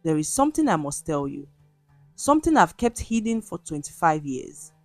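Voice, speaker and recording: A woman voicing a frail, regretful old man, a chief near death, in a storybook tale, speaking weakly and haltingly. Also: deep voice, very animated, dry recording, faint music behind. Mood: sorrowful, confessional. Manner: weak, halting, confessional. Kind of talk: storybook narration dialogue.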